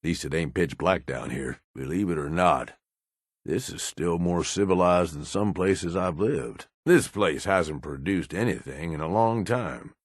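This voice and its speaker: An actor voicing a gruff, cynical middle-aged American raider lieutenant in a video game, blunt and profane with a folksy, slangy drawl. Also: male voice, deep voice, unhurried, no room sound, clean studio sound. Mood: wary, matter-of-fact. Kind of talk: video game companion dialogue.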